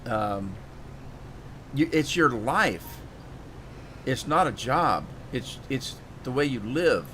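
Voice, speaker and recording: A man's voice: mid-range voice, animated, slow, fairly bright tone. A man chats through a live stream of a farming game in a rambling, homespun manner, often stopping and restarting his thoughts. His voice is a little worn, as if he is losing it. A faint noise sits under the desk-mic recording. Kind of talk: casual live-stream chat while playing.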